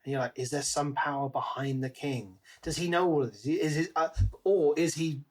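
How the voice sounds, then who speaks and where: A man's voice: a little high, fairly even intonation, normal speed. A man co-hosting a podcast, discussing a book casually and conversationally, slipping into paraphrased quotes. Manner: conversational, acts out imagined quotes. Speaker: podcast co-host.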